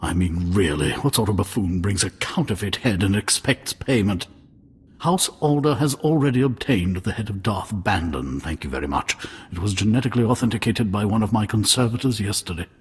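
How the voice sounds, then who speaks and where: A man's voice: lowish voice, animated, brisk, slightly rough. An actor playing a snooty, easily outraged male museum curator in a video game, with haughty, formal diction. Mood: haughty disdain.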